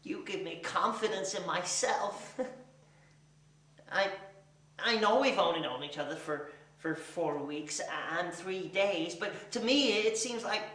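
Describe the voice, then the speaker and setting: A man acting a neurotic, awkward lover in a comic monologue, halting and rambling with earnest nerves. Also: sits high, lively intonation, pushed and bright, full character voice. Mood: nervous, earnest. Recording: echoey room, distant mic.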